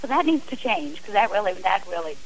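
A woman's voice: medium pitch, big pitch swings, brisk, poor audio. A woman speaking earnestly and emphatically as a guest on a radio interview.

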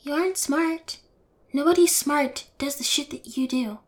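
A high-pitched young female convict played by a woman talks with mocking nonchalance. She is sarcastic, fearless and a little unhinged, in the manner of an intimate audio roleplay. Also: a little slow. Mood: sarcastic, annoyed.

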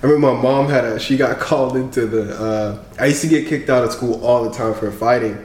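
A man's voice: mid-range voice, brisk. Laughing storyteller man in echoey room. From Congo. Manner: animated storyteller, laughs often. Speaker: podcast guest. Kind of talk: casual interview chat.

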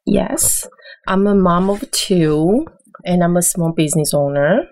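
Low-voiced middle-aged woman from taiwan, halting and measured, short answers. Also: a little mellow. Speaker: guest, small business owner. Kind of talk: interview conversation.

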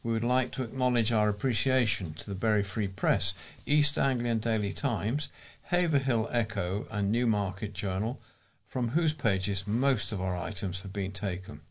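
A man's voice: lowish voice, normal speed. A man with an English accent reading local newspaper items and announcements aloud as a volunteer presenter.

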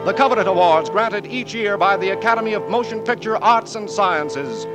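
A man's voice: high-pitched, expressive, normal speed. A man announcing a 1940s radio show in a formal, emphatic manner. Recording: 1940s radio broadcast with music.

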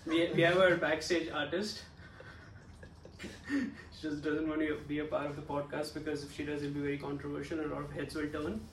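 A man hosting a podcast in a relaxed, casual way, swearing freely as he chats with guests. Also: fairly high pitch, brisk. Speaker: podcast host. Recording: echoey room, distant mic, faint background noise.

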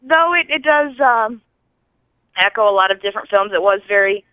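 A woman calling in to a podcast over a thin phone line, giving casual movie feedback in a halting, dryly puzzled way. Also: medium pitch, lively intonation, no echo. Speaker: caller to a podcast. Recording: thin, band-limited telephone line.

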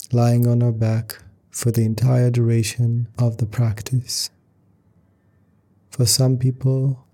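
Slow, mellow man guiding meditation. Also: medium pitch. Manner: calm, gentle, measured phrasing with pauses. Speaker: yoga nidra meditation teacher. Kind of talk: guided meditation instruction.

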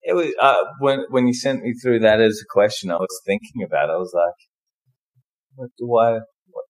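A man being interviewed as a podcast guest, chatting in a relaxed, hesitant way with many fillers. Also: on the low side, fairly quick. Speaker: podcast guest, book club founder. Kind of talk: casual conversation.

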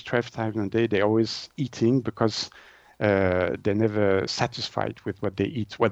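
A man's voice: medium pitch, a little mellow, no room sound, muffled recording. A man from Switzerland with a Swiss French accent, explaining things as an interview guest in his second language, often searching for words.

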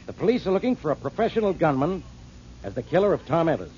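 An actor playing a gruff, impatient police inspector in a 1940s radio drama. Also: male voice, fairly high pitch, very animated, fast talker, darkish tone, a little hiss or hum. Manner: gruff, blustery. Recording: dull 1940s radio recording.

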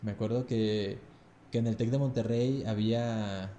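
A man's voice: on the low side, steady tone, normal speed, mellow, roomy sound, a little hiss or hum. A Mexican man in his thirties telling his own story in a loose podcast chat, full of crude slang, tagging "güey" onto nearly every phrase. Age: 30s. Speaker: podcast guest.

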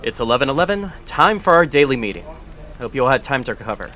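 A man playing a bright, upbeat boss. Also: medium pitch, very animated, quick pace. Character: upbeat man directing a team meeting. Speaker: foundation director leading a meeting.

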